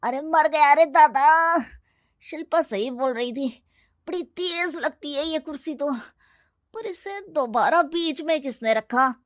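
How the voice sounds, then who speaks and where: A woman's voice: high voice, lively intonation, takes it slowly. A woman voicing a jumpy, grumbling young man who is scared of ghosts, exclaiming and complaining in a cartoon story. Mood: pained, annoyed. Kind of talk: animated cartoon story acting.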